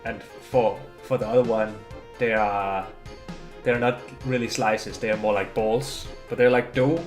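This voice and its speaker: A man's voice: on the low side, steady tone, a little slow. A man from Denmark, a native Danish speaker, chatting politely and casually while streaming a game, often restarting his words. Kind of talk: casual live-stream chat while gaming. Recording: game music playing behind.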